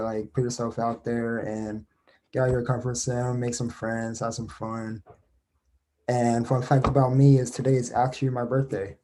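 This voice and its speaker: A man's voice: medium pitch, mellow. A young man giving an upbeat, easygoing self-introduction as a pageant contestant. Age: young adult.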